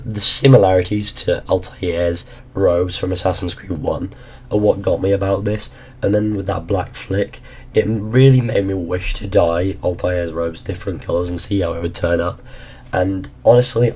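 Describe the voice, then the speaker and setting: A low, mellow male voice, worn hoarse as he loses his voice. He rambles casually and drops the odd swear word. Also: fairly even intonation, moderate pace. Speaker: gaming YouTuber. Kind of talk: casual ranking commentary.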